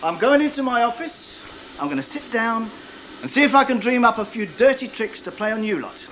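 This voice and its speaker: A brusque factory boss, scolding his staff in a high, lively voice. He is blunt and sarcastic. There is a faint hum behind him, like an old TV broadcast. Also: brisk, poor audio. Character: brusque middle-aged male factory boss. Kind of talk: 1980s TV soap acting. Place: factory floor TV set.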